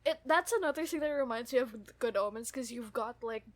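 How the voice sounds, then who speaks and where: A woman's voice: a little high, fairly quick, no room sound. A woman co-hosting a podcast, chatting casually and gushing as a fan. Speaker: podcast co-host.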